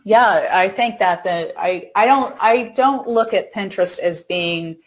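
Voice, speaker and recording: A woman's voice: medium pitch, a little slow. A middle-aged woman co-hosting a casual discussion over a video call. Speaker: talk show co-host. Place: home room, video call.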